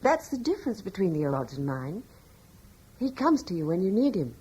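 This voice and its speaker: An actress playing a gentle, devout Roman-era wife who speaks softly and earnestly in an old radio play. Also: female voice, medium pitch, big pitch swings, fairly quick, husky. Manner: soft, earnest, quietly faithful. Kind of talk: 1950s-60s radio drama acting. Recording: dull old radio broadcast.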